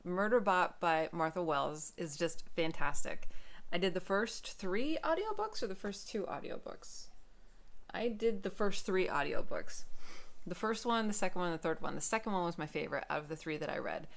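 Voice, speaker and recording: A woman book vlogger chatting casually to camera in an expressive, emphatic way. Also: fairly low pitch, normal speed, creaky.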